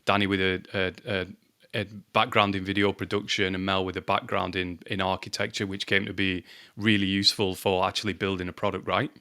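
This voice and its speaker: A man co-hosts a casual podcast in a low, smooth voice. He keeps his pitch flat and even. He speaks with a dry, self-deprecating edge and the odd "um". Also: fairly quick. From Lancashire, England. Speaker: podcast co-host, company CMO.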